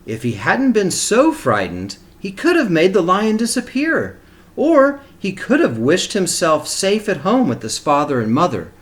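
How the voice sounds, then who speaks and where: A man reads a children's storybook aloud at an unhurried pace. His pitch rises and falls in a lively way, with deliberate, expressive phrasing suited to kindergarten listeners. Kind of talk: read-aloud storytelling with short asides.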